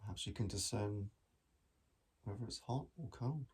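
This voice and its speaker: A man guiding a meditation in a gentle, measured manner with long pauses between phrases. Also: fairly low pitch, breathy edge. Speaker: mindfulness meditation teacher. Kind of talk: guided body scan meditation.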